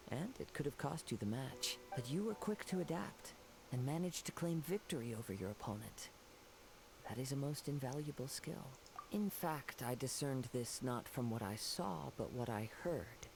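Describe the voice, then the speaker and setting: An actor playing a calm, poetic young wandering samurai in a video game, speaking formally and courteously in a measured way. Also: male voice, mid-range voice, vocal fry, background noise. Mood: calm, approving. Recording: game music behind the voice.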